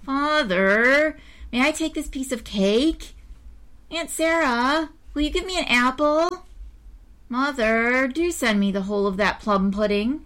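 A woman's voice: sits high, a little slow, fairly bright tone, pitched above the performer's own voice. A woman voicing a greedy, naughty young storybook boy who pleads in a whining tone. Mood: whining, pleading. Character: greedy, naughty, idle young schoolboy begging for treats.

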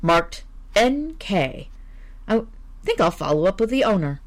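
A woman voicing a suave, calm male amateur detective who reasons things out deliberately. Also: mid-range voice. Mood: calm reasoning.

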